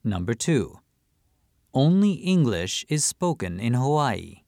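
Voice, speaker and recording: A man reading listening-test statements in a deliberate, measured way, recorded on a close studio mic. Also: medium pitch, sing-song, darkish tone, no echo. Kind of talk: slow scripted reading.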